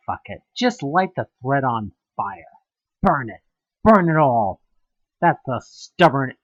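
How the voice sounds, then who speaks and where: A man's voice: mid-range voice, husky, dry recording, dull audio. A man reading a scripted second-person story as a narrator, with a theatrical, emphatic delivery that draws out words. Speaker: audio play narrator.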